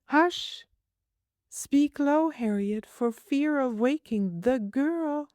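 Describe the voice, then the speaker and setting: A woman's voice: sits high, lively intonation, drawn-out words, a little mellow, dry recording, clean studio sound, voice pushed up, heavily acted. A woman voicing a small, solemn, homesick little girl in a storybook, speaking in precise, imperious, formal phrases. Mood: fussy, hushed urgency.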